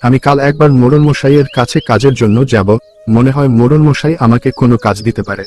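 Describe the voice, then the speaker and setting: A man's voice: medium pitch, fast talker, a little mellow, soft background music, close to an everyday voice. Poor village husband for a cartoon, played by a man: mid-range voice, meek and earnest. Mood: resigned. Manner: humble, earnest. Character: poor, weak, pleading husband seeking work. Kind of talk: cartoon story dialogue.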